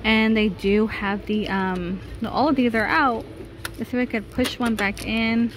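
A woman's voice: fairly high pitch, fairly even intonation, normal speed, faint music behind. A woman vlogger giving a chatty shopping walkthrough, laughing often, filmed on a handheld phone in a large store. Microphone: handheld phone camera. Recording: noisy store background.

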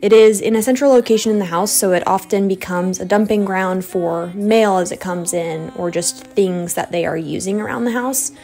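A woman's voice: medium pitch, normal speed, hardly any pauses, warm tone. A young American woman giving a casual voice-over narration as a cleaning vlogger. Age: young adult. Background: background music.

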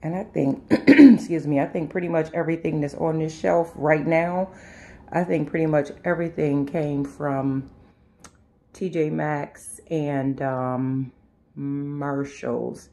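A woman vlogger talking casually to her viewers in a halting, unscripted way, often circling back on herself as she shows her house. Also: sits low, fairly even intonation, dark tone. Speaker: home and lifestyle vlogger. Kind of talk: casual chat to viewers during a house tour. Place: inside a house, walking between rooms and sun porch.

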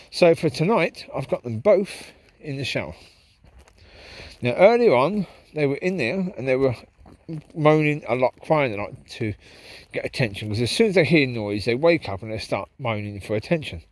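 A man's voice: medium pitch, lively intonation, normal speed, dry recording. A man talking casually to camera as he walks his dogs through a park at night, reflective and rambling. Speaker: vlogger walking his dogs. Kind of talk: casual walk-and-talk monologue. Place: park path at night, outdoors. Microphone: handheld camera while walking.

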